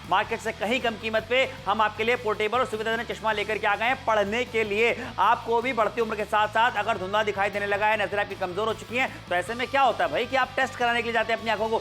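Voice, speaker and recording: A man presenting on a home shopping channel, in a rapid, persuasive sales patter. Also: high voice, steady tone, sharp tone, faint music behind. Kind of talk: live TV sales pitch. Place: TV shopping studio.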